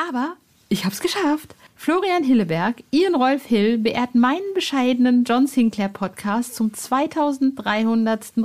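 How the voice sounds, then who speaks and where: Lively chatty woman hosting a podcast. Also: a little high. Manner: chatty, informal, playful asides. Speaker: podcast host.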